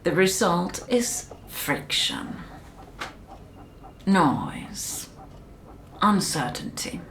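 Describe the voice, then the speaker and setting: A woman playing a calm, controlling institute specialist in a soft, breathy ASMR hypnosis roleplay, often whispering, with slow, soothing, clinical delivery. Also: fairly low pitch, a little hiss or hum, exaggerated voice. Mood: measured, knowing. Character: calm, controlling female institute specialist conducting mind conditioning.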